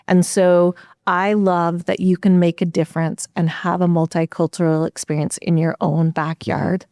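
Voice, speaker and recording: Low-voiced earnest woman, dry studio. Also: level tone, normal speed, warm tone, no room sound. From United States. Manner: earnest and upbeat, explains at length with restarts. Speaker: executive director of a refugee nonprofit, podcast guest. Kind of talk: conversational podcast interview.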